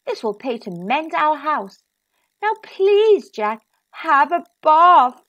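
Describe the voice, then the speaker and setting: A woman's voice: high voice, expressive, normal speed, fairly bright tone, dull audio. A woman voicing a fussy, house-proud mother in a children's storybook, with an emphatic manner. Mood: gasping, relieved.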